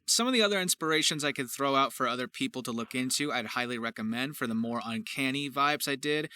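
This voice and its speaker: A man with a very bright, forceful voice at a middling pitch talks through his creative work as a voice actor and writer. His delivery is casual but emphatic, with long, effusive sentences. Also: smooth. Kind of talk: casual question-and-answer behind-the-scenes commentary.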